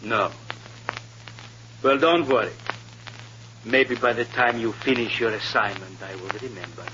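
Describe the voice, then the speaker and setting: An actor playing a stern, suspicious male Soviet agent who gives orders in a measured, formal way in an old radio drama. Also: medium pitch, close to an everyday voice. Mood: quietly menacing. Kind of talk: 1950s radio drama acting. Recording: muffled, hissy old radio recording.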